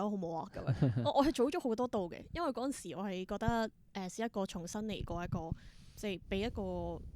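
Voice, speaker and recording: A woman's voice: medium pitch, darkish tone. A young adult woman with a Hong Kong Cantonese accent, telling stories casually as an interview guest and acting out bits of dialogue. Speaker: podcast guest, theatre performer.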